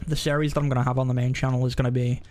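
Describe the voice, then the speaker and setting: A man's voice: medium pitch, level tone, very fast, darkish tone. A man chatting casually on a live game stream, rambling and often interrupting himself.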